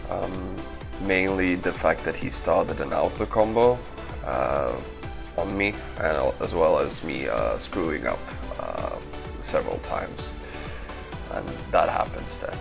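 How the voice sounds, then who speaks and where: A man's voice: very deep voice, very animated, takes it slowly, vocal fry, music in the background. A man giving casual, offhand commentary over gameplay as a gaming video creator.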